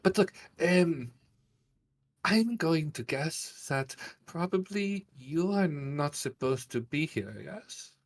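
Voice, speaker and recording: A man's voice: on the high side, expressive, slow pace, pitched above the performer's own voice. A man voicing a tactful, scheming woman in a tabletop game, coaxing politely and hesitantly. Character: tactful, scheming woman coaching a giant through a bluff.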